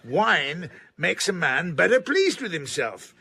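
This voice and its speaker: Period radio drama: older literary gentleman, blunt and witty, argumentative, animated forceful delivery. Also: high voice, slow pace, vocal fry, breathy edge, full character voice. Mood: opinionated. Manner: emphatic, argumentative, pronouncing. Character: blunt, witty, domineering older man of letters.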